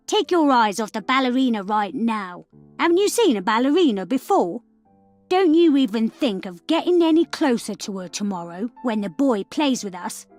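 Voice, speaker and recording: Lady doing a jealous bossy troll for a kids story, high voice, scolding, really animated. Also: moderate pace, dry recording, soft background music. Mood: bossy, threatening. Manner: scolding, commanding. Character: bossy, jealous green-haired troll toy, threatening.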